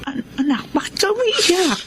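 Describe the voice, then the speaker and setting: A woman's voice: very high voice, sing-song, normal speed, fairly bright tone, a little hiss or hum, heavily acted. An actress playing a concerned middle-aged mother in a Filipino radio drama, with a Filipino accent and an anxious, questioning manner.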